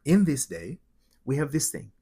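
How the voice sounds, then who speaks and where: Male, Tanzanian accent, husky voice, mid pitch, motivational spiritual coach, emphatic delivery, repeated phrases, rhetorical questions. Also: very animated, moderate pace, mellow. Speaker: host, spiritual transformation coach. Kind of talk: motivational spiritual teaching with personal anecdotes.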